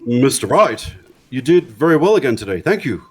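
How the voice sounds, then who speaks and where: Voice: mid-range voice, sing-song, brisk, a little mellow, a little hiss or hum, close to an everyday voice. A streamer playing a timid, sad male murder suspect in an amateur live game dub, speaking hesitantly. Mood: meek, hesitant. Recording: music playing behind the voice.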